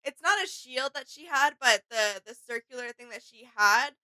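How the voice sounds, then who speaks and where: A woman's voice: sits high, expressive, moderate pace, pushed and bright, low-quality recording. A young woman chatting casually as co-host of a reaction, sounding enthusiastic.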